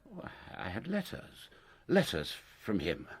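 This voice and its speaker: An actor playing a deluded, obsessive murderer in a radio play, theatrical and emotional. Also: male voice, fairly low pitch, huge pitch swings, measured pace, slightly rough, heavily acted. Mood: subdued, wounded. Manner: theatrical, emotional, swinging between hushed denial and outburst. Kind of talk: radio drama acting.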